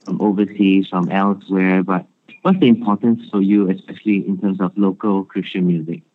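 A man interviewing a guest, asking his questions in a measured, polite way. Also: low-pitched, steady tone. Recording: tinny phone line with faint hiss.

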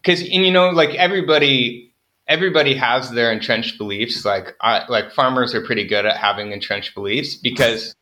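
A man's voice: mid-range voice, moderate pace, sharp tone. A young man, a farmer guest on an interview, chatting casually with lots of fillers and self-deprecating jokes.

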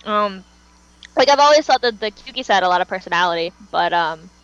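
A woman's voice: medium pitch, animated, a little fast, fairly bright tone, smooth, no echo. A woman co-hosting a fan podcast in casual, enthusiastic and opinionated chat. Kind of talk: casual chat, reacting to a music video. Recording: dull lo-fi voice chat audio.

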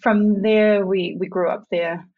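Husky-voiced woman, reflective interview guest. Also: mid-range voice, steady tone, unhurried. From Samoa. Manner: reflective, laughs often, some restarts. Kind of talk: conversational interview. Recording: dull lo-fi sound.

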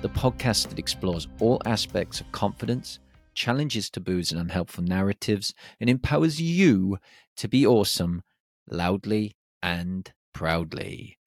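A man hosting his own podcast, talking alone in a lively, animated way with plenty of exclamations. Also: lowish voice, a little slow, no echo, studio quality, soft background music. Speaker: podcast host. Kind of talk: solo podcast monologue. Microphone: podcast microphone.

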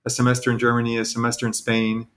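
A man's voice: mid-range voice, completely flat delivery, quick pace, fairly bright tone. A man from Texas talking casually as an interview guest, in a self-deprecating way. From Texas, United States.

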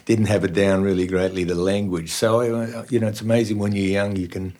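A man's voice: fairly low pitch, level tone, brisk, a little mellow. An older man from Austria who speaks with an Australian accent, telling stories as a guest in a casual interview and laughing often. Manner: chatty storyteller, laughs often. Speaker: guest musician.

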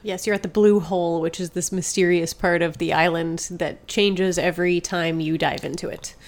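A woman's voice: fairly low pitch, fairly even intonation, moderate pace. Slightly stuffed-up woman, matter-of-fact. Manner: matter-of-fact, plainly reads out review text. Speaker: podcast co-host. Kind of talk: casual podcast chat, reading reviews aloud.

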